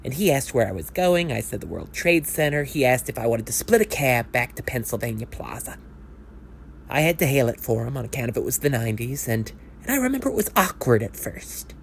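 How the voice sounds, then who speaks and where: A man's voice: medium pitch, big pitch swings, fairly quick, creaky, a little hiss or hum. A man narrating an audio play as a conversational, scripted dramatic monologue.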